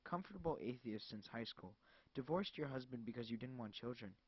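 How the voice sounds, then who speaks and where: A man playing a calm, smug and menacing male caller who speaks politely, slowly and as if smiling. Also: medium pitch, breathy edge, no echo. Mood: calm menace. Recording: dull lo-fi dry recording.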